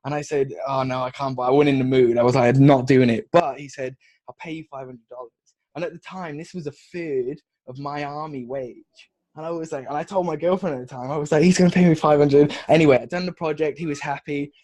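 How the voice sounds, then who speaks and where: A man's voice: a little high, fairly quick. A young man from Wales with a mixed, drifting Welsh accent, chatting energetically as a podcast guest. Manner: energetic, rambling with restarts. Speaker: guest, funnel agency founder. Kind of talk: casual podcast chat.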